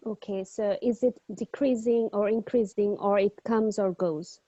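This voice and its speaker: A woman's voice: medium pitch, fairly even intonation, measured pace, warm tone, no room sound. Polite hesitant woman on a dull call. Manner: polite, hesitant, many fillers. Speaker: candidate doctor in a mock exam. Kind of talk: clinical history taking in a role-play. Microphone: video call.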